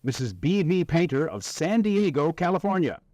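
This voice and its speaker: Man as lively, emphatic radio contest announcer. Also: fairly high pitch, normal speed, dry recording. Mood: eager, promotional. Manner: promotional, emphatic. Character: eager male 1940s radio contest announcer. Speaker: commercial announcer. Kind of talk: 1940s radio advertising announcing.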